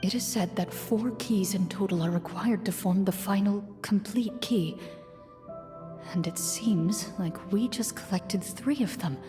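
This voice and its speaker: A woman voicing a composed, serious hunter who narrates a tabletop adventure in a video game, measured and formal. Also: medium pitch, over music, natural voice. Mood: pensive.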